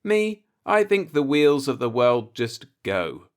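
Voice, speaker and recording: A man's voice: medium pitch, big pitch swings, takes it slowly, clean tone. A man voicing a cynical, musing older working-class man, a self-taught professor, in a folksy, rhetorical audiobook monologue.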